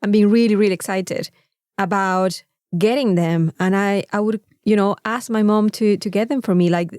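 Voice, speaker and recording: A woman's voice: medium pitch, steady tone, moderate pace, a little mellow, clear, resonant tone, dry recording, studio quality. A woman with a Mexican accent, a native Spanish speaker, co-hosting a casual podcast in a candid, exasperated, emphatic way. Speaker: podcast co-host.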